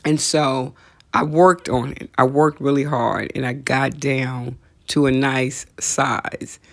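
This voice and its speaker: A woman's voice: very deep voice, steady tone, slow, no room sound. A middle-aged woman hosting a casual comedic talk, conversational and teasing, repeating phrases for emphasis. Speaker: podcast host.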